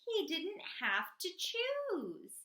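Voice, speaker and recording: A woman reading a children's picture book aloud in an expressive, dramatic storytelling manner, drawing out key words. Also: very high-pitched, drawn-out words, roomy sound.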